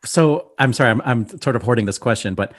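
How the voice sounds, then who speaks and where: A man's voice: medium pitch, fast talker. A man co-hosting a podcast, asking enthusiastic, rambling questions in casual interview chat. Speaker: podcast co-host.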